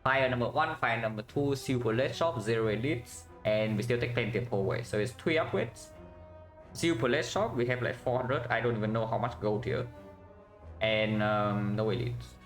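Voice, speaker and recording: A man's voice: mid-range voice, moderate pace, soft background music. A man with a Vietnamese accent streaming a card game, thinking aloud and chatting with viewers, often ending with "yeah?". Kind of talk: casual live-stream commentary.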